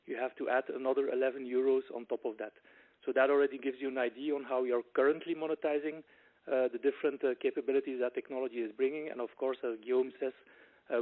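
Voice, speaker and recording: A male executive gives explanatory answers on a business conference call. His pitch stays very level and his phrasing is measured, with small hesitations. The audio is dull and band-limited, like a telephone line. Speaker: company executive answering analysts on an earnings call.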